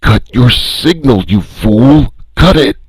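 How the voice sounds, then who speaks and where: A man voicing an unknown male caller on a radio link who shouts commands stridently. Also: a bass voice, very animated, unhurried, gravelly, slightly rough, no echo, pitched above the performer's own voice, heavily acted. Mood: frantic.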